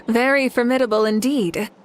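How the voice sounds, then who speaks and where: A woman playing a composed, confident warrior leader in a video game, speaking in a calm and measured way. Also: on the high side, a little hiss or hum. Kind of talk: video game character acting.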